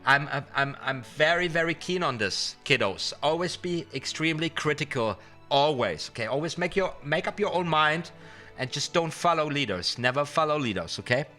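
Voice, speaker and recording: Bright-voiced man chatting on a livestream. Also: fairly high pitch, animated, normal speed. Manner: rambling, addresses chat directly, repeats words for emphasis. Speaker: magician livestream host. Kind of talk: casual livestream chat and card magic tutorial. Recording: music behind the voice. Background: music.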